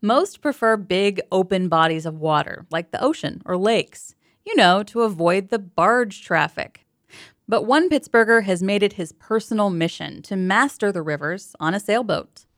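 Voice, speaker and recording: A woman's voice: medium pitch, lively intonation, moderate pace, fairly bright tone, dry recording. Woman host introducing a segment, dry studio. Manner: friendly, playful. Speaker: radio host. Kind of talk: scripted news introduction.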